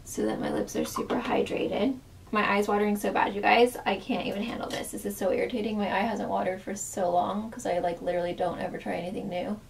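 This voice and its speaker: A woman's voice: mid-range voice, steady tone, quick pace, vocal fry, distant mic, a little hiss or hum, poor audio. A woman chatting through a makeup tutorial as a former makeup artist, talking in a casual way full of fillers, losing her voice so that it often cracks.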